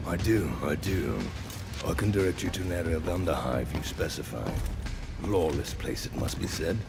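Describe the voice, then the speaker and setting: An actor playing a scheming male trader in a video game cutscene, smooth-talking and self-serving. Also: rumbling low, moderate pace. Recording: game audio with loud music. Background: music.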